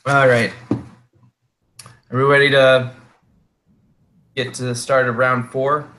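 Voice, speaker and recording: A man running a tabletop game who has a sore throat, pausing often and filling gaps with ums. His voice is bright and his pace slow and deliberate, recorded on a desk mic. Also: mid-range voice, little pitch movement, a little hiss or hum. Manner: halting, many fillers. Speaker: game master. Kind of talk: casual tabletop game chat.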